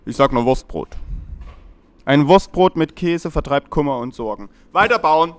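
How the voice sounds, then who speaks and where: A man's voice: a little high, lively intonation, moderate pace, no echo, lightly acted. A man playing a chatty, agreeable, slightly eccentric handyman in an audio play, thinking aloud as he talks. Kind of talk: German audio play, conversational.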